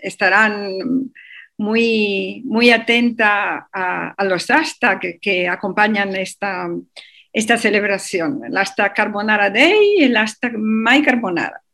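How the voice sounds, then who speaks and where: A woman, a guest in a radio interview, talking with many pauses, fillers and restarts. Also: mid-range voice, sharp tone.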